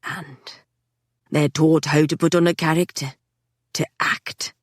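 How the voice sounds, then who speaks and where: Woman doing a low, unhurried gang boss, menacing drawl, smug calm, dry close sound. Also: no room sound. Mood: amused. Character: calm, menacing man, smoky drawl, arrogant gang leader.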